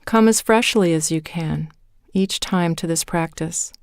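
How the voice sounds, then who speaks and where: Calm lady reading a guided meditation, smooth voice, really dry close sound, measured with pauses. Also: fairly low pitch, no echo. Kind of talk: guided meditation, scripted narration.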